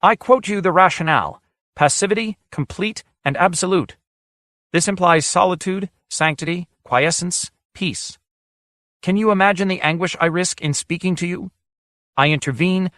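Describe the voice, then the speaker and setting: A man's voice: high-pitched, fairly bright tone, dry recording, studio quality, voice pushed up. A man voicing an aloof ancient sage. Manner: solemn, formal and reproachful. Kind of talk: audiobook character voice.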